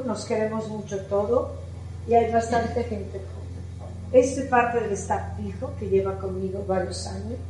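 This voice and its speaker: A middle-aged woman with an Italian accent, giving a chatty, lively conference talk as an architect and putting questions to the audience. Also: mid-range voice. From Italy. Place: lecture hall. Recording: echoey hall with faint music.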